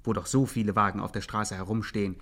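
An actor as a dry, mock-formal commentator in a scripted radio crime satire. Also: male voice, fairly low pitch, fairly even intonation, fast talker, fairly bright tone. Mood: ironic. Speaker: narrator. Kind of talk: scripted radio play narration, 1950s.

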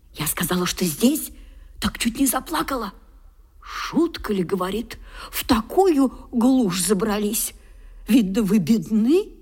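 Poor old woman, very high voice, folksy wailing speech, scolding yet tender, radio drama. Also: a little mellow, breathy edge, full character voice. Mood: coaxing. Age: older. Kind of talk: Russian radio drama acting.

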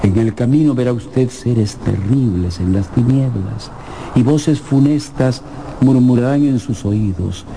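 A slow, sorrowful old ghost. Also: male voice, mid-range voice, gravelly, warm tone, a little hiss or hum. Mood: grave warning. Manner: slow, solemn, grave delivery. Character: old sorrowful ghost longing for death, solemn, pleading. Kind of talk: radio drama acting.

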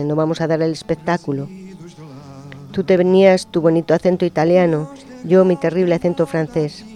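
A man on a radio program, reading a personal letter aloud in a slow, intimate, reflective way. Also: on the high side. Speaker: radio presenter. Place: radio studio. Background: soft guitar music.

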